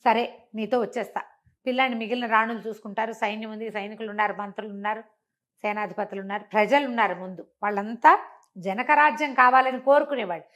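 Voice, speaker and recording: A woman's voice: fairly high pitch, fairly even intonation, fast talker, a bit bright, lightly acted. A woman storyteller voicing a distraught, devoted queen who pleads insistently with her husband in a folk tale. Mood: pleading, insistent.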